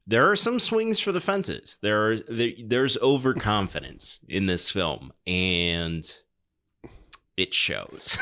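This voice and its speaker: A man's voice: lowish voice, big pitch swings, slow pace, sharp tone. A man hosting a podcast, chatting casually about film in an emphatic, wryly critical way. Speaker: podcast host. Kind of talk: casual film discussion chat.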